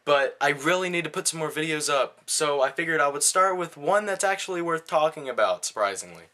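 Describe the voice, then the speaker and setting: A man's voice: fairly high pitch, expressive, fairly quick, bright, forceful tone. A man giving a casual video movie review in a blunt, slangy, conversational way.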